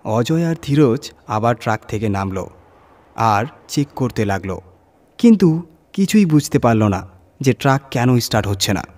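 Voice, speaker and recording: Male narrator for horror audio stories, mid-range pitch, scripted storytelling delivery. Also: big pitch swings, normal speed, a little mellow.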